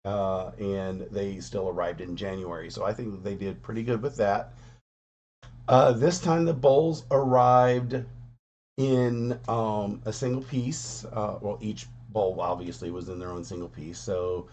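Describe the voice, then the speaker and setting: Man, hoarse from coughing, lowish voice, rambling casual vlog talk, faint background noise. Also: steady tone, a little slow, darkish tone. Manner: rambling, many "uh" fillers. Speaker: quilting vlogger. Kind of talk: casual chat to camera.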